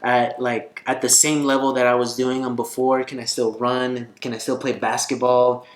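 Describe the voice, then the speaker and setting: A man's voice: mid-range voice, little pitch movement, normal speed. A man vlogging in a casual, conversational and earnest way, telling a personal story. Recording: roomy echo, faint guitar music behind.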